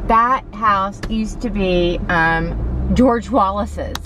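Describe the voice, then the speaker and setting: A woman's voice: mid-range voice, very slow. A woman, chatting to viewers in a wistful, rambling way while she drives. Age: 40s. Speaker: vlogger. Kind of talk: casual driving vlog. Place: inside a car on the road. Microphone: dashboard camera. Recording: loud car and road noise.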